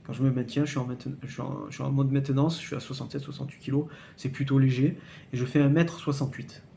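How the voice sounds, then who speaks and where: A man's voice: mid-range voice, steady tone, quick pace, gravelly, warm tone, slight background noise. Hesitant man nearing fifty, chatty. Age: 40s. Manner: frequent hesitations and repeated words, checks in with "d'accord?"; emphatic, cautionary tone when warning viewers. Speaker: fitness channel host. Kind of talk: casual explanatory vlog to camera.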